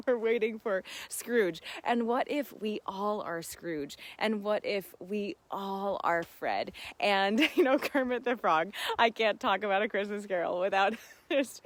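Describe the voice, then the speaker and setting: A woman's voice: mid-range voice, animated, measured pace, dry recording. A woman hosting a casual live Q and A, chatting earnestly and reflectively to listeners as friends, often with laughter in her talk. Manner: laughs often while talking. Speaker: host of a live Q and A. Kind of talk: casual livestream chat with reflective asides.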